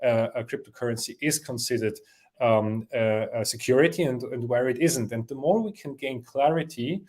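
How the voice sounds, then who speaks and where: A man from Switzerland answering interview questions, pausing with many fillers and restarts. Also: mid-range voice, a little mellow. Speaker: interview guest.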